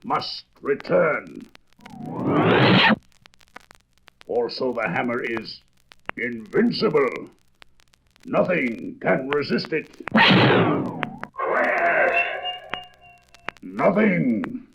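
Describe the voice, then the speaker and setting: An actor playing a mighty, resolute thunder god in a grandiose, declamatory comic book audio drama. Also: male voice, medium pitch, very animated, slow pace, gravelly, heavily acted. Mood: awed, proud. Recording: noisy, dull old record with clicks.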